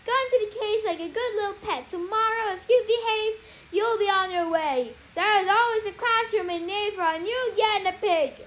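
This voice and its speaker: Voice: extremely high pitch, moderate pace, pitched above the performer's own voice. A young reader voicing a scheming sorceress who talks sweetly but cruelly, scolding and condescending. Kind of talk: story reading aloud.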